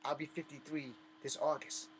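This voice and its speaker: A man in his fifties delivers a personal vlog monologue, blunt and bitter, hammering his points with repetition and swearing. His voice sits somewhat high for a man, and a faint hiss of noise runs underneath. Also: flat delivery, normal speed, rough recording. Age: 50s. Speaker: host.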